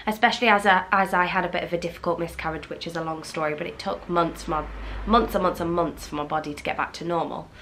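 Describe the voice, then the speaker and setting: A young woman from England talks to her camera in a loose, candid way, full of fillers and restarts. Her nose is blocked and she sounds fluey. Her voice sits fairly low for a woman. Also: a bit bright. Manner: chatty and candid, frequent fillers and restarts, sometimes swears. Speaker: vlogger. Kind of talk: casual chat to camera.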